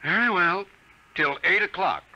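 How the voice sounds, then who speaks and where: Firm skeptical dad in old radio play, bright animated voice, dull old recording. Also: male voice, high voice, unhurried, full character voice. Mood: wryly amused. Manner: firm, dry, disapproving. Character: stern, skeptical, dismissive father of a young girl. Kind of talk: 1950s radio drama acting. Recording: dull vintage 1950s radio recording, faint noise.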